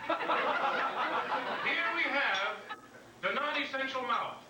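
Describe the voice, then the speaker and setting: A man voicing a pompous 1950s instructional film narrator in slow, solemn, exaggerated diction for a comedy. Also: very high-pitched, voice pushed up. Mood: solemn instructing. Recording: echoey room from far off, noisy.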